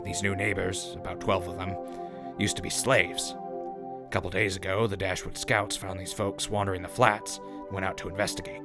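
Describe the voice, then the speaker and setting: Deep, gravelly radio host played by a man. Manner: wry, folksy, mock-gracious announcer patter. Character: wry, cheeky male wasteland radio host. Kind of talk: scripted in-character radio broadcast.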